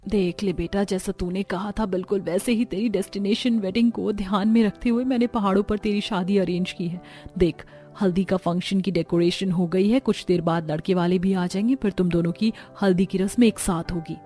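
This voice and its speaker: A woman's voice: medium pitch, steady tone, brisk, dark tone, faint music behind, close to an everyday voice. A woman voicing a caring, patient middle-aged mother in a Hindi moral-story cartoon. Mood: reassuring.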